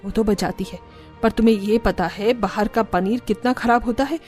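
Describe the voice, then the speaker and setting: A woman's voice: medium pitch, moderate pace, darkish tone, soft background music, close to an everyday voice. Woman playing a blunt middle-aged mother-in-law. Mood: scolding. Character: outspoken middle-aged mother-in-law, scolding but fair. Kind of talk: Hindi cartoon story dubbing.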